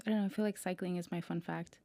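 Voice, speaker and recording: A woman's voice: lowish voice, steady tone, rapid-fire, mellow. A woman guest on a cycling podcast, talking casually and filling her sentences with "like" and "you know". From Mexican-American, grew up in the US. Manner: chatty, many "like" fillers. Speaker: guest, women's cycling team director.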